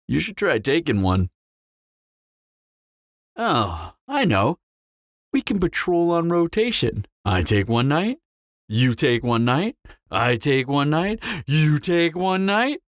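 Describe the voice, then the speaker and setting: A man's voice: mid-range voice, sing-song, no echo, studio quality. Man acting a smug, swooping flirtatious vampire. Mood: teasing. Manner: teasing, smug drawl with dramatic pauses. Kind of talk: scripted audio roleplay, intimate character acting.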